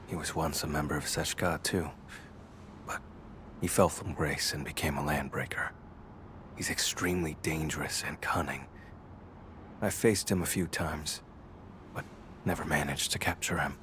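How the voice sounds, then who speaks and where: A brooding vampire investigator from a video game. His voice sits very low, and he speaks in clipped, deliberate phrases with grave restraint. Faint ambient noise runs behind him. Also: steady tone, a bit bright. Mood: grave, determined. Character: brooding male vampire hunter, stoic loner investigator. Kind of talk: scripted game dialogue.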